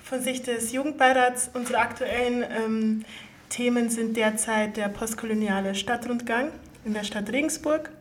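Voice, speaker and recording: A woman's voice: a little high, steady tone, normal speed. A twenty-year-old woman answering interview questions spontaneously as a youth council member. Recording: faint background noise.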